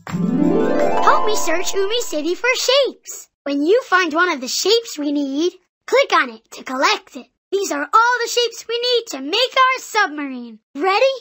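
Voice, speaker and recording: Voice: very high-pitched, very animated, a little slow, bright, forceful tone, dry recording, clean studio sound, soft background music, heavily acted. A performer playing a cheerful little boy builder who guides a children's cartoon game with upbeat, simple encouragement. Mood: cheerful, instructive.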